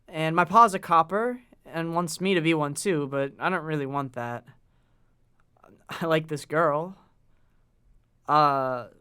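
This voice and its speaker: A man's voice: fairly high pitch, bright, forceful tone, clean tone. A man playing a polite, hesitant sixteen-year-old boy in an audio drama. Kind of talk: nostalgic audio drama acting.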